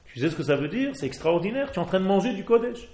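A man's voice: high-pitched, big pitch swings, fast talker, darkish tone, echoey room. A man from Israel with an Israeli accent and Hebrew as his first language, fervently exhorting a religious class. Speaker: rabbi. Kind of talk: Torah class.

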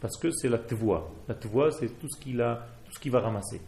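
A man's voice: mid-range voice, brisk, gravelly, darkish tone. Israeli-accented man, rabbi's fervent Torah lesson, echoey room, faint hiss. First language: Hebrew. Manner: fervent, exhorting, mixes Hebrew terms. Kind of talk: Torah class.

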